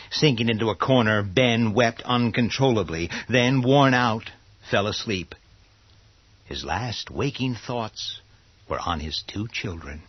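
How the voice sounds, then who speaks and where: A man narrating a horror radio play in a husky voice, theatrical, with deliberate dramatic pauses. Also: lowish voice, dry recording, muffled recording.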